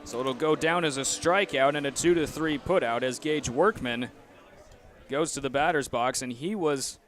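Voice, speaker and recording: A man's voice: mid-range voice, moderate pace, fairly bright tone, faint music behind. A young American man doing live play-by-play baseball commentary on a headset mic from a ballpark. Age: young adult.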